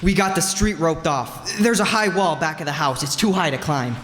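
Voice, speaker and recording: An eager junior policeman in a radio crime play, acted by a man, obedient to his boss. The slightly high voice carries the echo of a hall. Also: expressive, moderate pace, a bit bright, faint music behind. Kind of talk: old-time radio crime drama acting.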